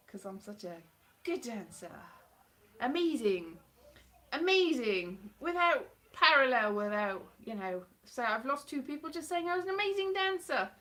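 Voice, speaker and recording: Woman, 30s, casual live-stream chatter into a close webcam, self-mocking and sarcastic, slightly high voice. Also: pushed and bright. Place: home hallway by a staircase, indoors. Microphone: webcam or phone at close range.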